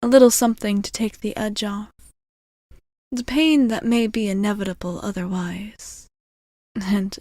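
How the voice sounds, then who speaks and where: A woman playing a gentle, caring vampire bartender in an intimate ASMR roleplay, soft-spoken and reassuring, often slipping into whispers. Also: medium pitch, dry recording, studio quality. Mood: fond, teasing. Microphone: close ASMR microphone.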